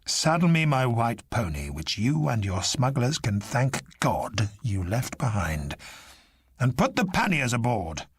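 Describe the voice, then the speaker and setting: A man voicing a stern vicar who turns into a commanding pirate captain, speaking slowly and firmly. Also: medium pitch, huge pitch swings, slightly rough, no room sound, clean studio sound, exaggerated voice.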